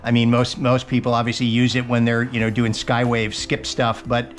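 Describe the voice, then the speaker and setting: A man's voice: mid-range voice, monotone, moderate pace. Upbeat man at his home radio desk. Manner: upbeat, frequent uh fillers. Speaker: ham radio operator and private pilot. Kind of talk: casual vlog narration and radio chat. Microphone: GoPro camera on the desk.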